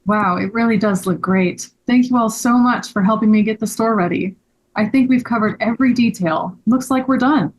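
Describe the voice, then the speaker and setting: A woman's voice: on the high side, normal speed, close to an everyday voice, poor audio. A woman playing an earnest, polite, grateful young male shop owner in a table read. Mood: grateful, pleased.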